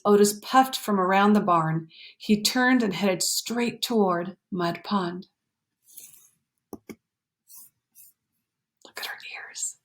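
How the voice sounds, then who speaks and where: A woman storytime reader with a medium-pitched voice reads a picture book to children. She is expressive and explanatory, pausing for emphasis and sometimes softening to a hush. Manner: animated, explanatory, pausing for emphasis and dropping to hushed phrases. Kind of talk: expressive picture-book read-aloud with asides to young listeners.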